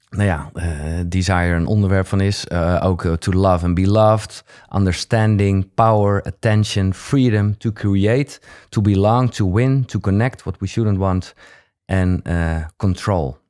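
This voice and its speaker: A man's voice: low voice, steady tone, unhurried, a little mellow. A Dutch man with an accent hosting an interview in English and Dutch, hesitant with many fillers and restarts. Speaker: podcast host. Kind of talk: casual interview.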